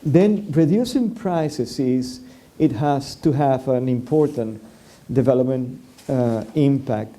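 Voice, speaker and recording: Hesitant Venezuelan man with a dark tone. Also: medium pitch, takes it slowly. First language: Spanish. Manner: hesitant, many fillers and restarts. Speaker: panelist. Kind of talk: public forum discussion.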